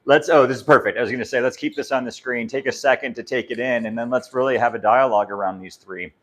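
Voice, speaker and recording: A loud, talkative man hosting the event and opening the discussion to the audience. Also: mid-range voice, fairly even intonation, fast. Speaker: event host. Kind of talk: moderating a live Q&A.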